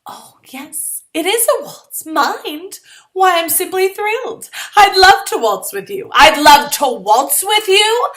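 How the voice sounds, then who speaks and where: Sugary society girl performed by a female reader, gushing and over-polite, high, lilting storybook dialogue. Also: very animated, takes it slowly, a bit bright, full character voice. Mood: sweetly polite. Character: falsely sweet, gushing polite young woman at a dance.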